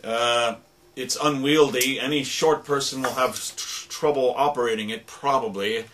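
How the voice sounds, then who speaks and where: A man giving an informal, halting and rambling demonstration of sound-effect instruments, as a radio drama producer. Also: medium pitch, fairly even intonation, a bit bright. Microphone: stereo microphone. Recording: noisy recording with stereo microphone.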